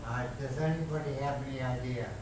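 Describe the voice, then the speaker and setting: A man in his eighties making a loud, emphatic, rambling public comment at a city council meeting. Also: medium pitch, fairly even intonation, fast. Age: 80s. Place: large council chamber, speaking from a chair. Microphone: far room mic. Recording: echoey chamber from far off with hiss.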